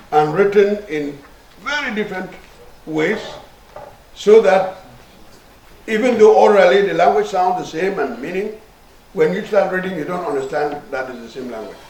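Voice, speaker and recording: A man giving a measured, explanatory answer as an official at a formal workshop. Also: high-pitched, a little mellow. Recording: echoey room, distant mic, faint noise.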